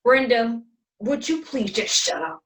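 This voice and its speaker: A woman's voice: on the high side, sing-song, measured pace, a bit bright. A woman playing an exasperated, sarcastic eldest sister, bossy and condescending with her endearments, in a dramatic play reading. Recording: distant from the microphone.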